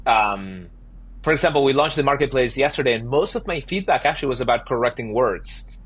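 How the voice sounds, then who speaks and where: A man with an Argentinian accent, a startup founder answering podcast interview questions in animated, emphatic bursts. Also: medium pitch, a little fast, slight background noise.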